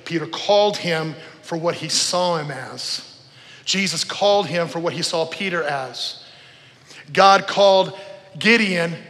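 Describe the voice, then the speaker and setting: Male preacher, middle-aged, forceful bright delivery, conversational and emphatic storytelling, long hall reverb. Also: high-pitched. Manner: conversational, emphatic, repeats phrases, checks in with listeners. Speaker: preacher, former youth pastor. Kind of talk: informal storytelling sermon to a congregation. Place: church service in a large room.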